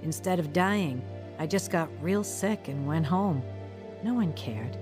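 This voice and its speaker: A reflective, sober woman tells her life story as radio drama narration. Her voice is slightly low and measured, over a music bed. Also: expressive. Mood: somber. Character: woman soberly recounting her troubled, lonely youth. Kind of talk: scripted first-person radio drama narration.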